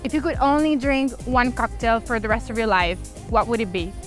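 A woman's voice: on the high side, lively intonation, a little fast. A woman interviewer putting short, simple questions to a guest. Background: music.

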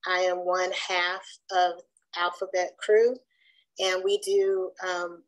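Soft-spoken woman, middle-aged, low-pitched, flat and even delivery, measured pace with many ums, webcam call from home. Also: poor audio. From Durham, North Carolina. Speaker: community researcher presenting at a coalition meeting. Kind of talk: informational presentation on a video call. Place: home room with bookshelves. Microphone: video call webcam.

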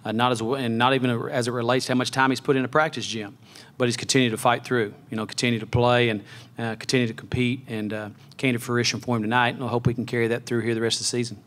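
Fast-talking middle-aged coach, flat delivery. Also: male voice, mid-range voice. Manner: measured, many fillers and restarts. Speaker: head basketball coach. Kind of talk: postgame press conference. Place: press conference room. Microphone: table mic on a stand.

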